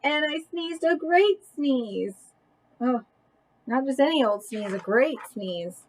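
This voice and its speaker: A woman's voice: high-pitched, animated, slow pace, slight background noise, low-quality recording. A woman voicing an indignant male wolf who tells his side of a children's storybook tale in a folksy, mock-innocent way. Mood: self-excusing. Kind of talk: children's picture book read-aloud.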